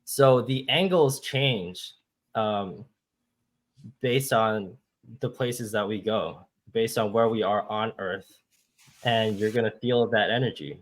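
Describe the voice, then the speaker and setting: A man's voice: lowish voice, slow pace, low-quality recording. A man from Hong Kong, a guest astrologer, patiently explaining step by step over a video call. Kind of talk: explaining with shared screen.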